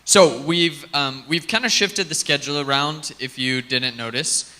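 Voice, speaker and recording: A man's voice: fairly high pitch, measured pace, sharp tone. A man emceeing a tech conference on stage, chatting and joking with the audience as he introduces the next speaker. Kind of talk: live stage hosting, casual and joking. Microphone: handheld stage mic.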